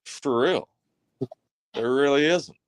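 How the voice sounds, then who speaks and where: A man chatting casually, eagerly swapping notes about his hobby. His voice is mid-pitched and very dry, with no room sound around it. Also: slow, clean tone, no echo. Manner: enthusiastic hobby talk. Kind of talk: casual chat.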